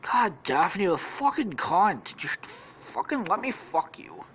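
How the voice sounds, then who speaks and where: A woman's voice: mid-range voice, big pitch swings, takes it slowly, fairly bright tone, slightly rough, heavily acted. A woman voicing a bossy, crude young man who leads the group. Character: bossy pushy young man, gang leader.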